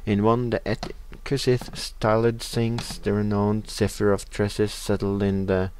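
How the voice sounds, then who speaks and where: Low-voiced Norwegian man narrating a game. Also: flat delivery, a little slow, a little mellow, clear, resonant tone, no room sound. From Norway. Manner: hesitant, many uh fillers, reading in-game text aloud. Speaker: let's play host. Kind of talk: casual game commentary.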